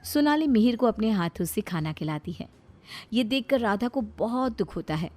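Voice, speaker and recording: A woman's voice: fairly high pitch, expressive, moderate pace, a little mellow, soft background music. A woman reading scripted narration for a moral story.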